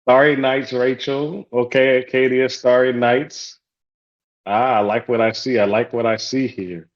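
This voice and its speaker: A man's voice: medium pitch, fairly even intonation, measured pace, highs cut off. A man whose first language is Haitian Creole, facilitating an online support group in casual, upbeat chat that repeats its welcomes. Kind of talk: hosting an online group call.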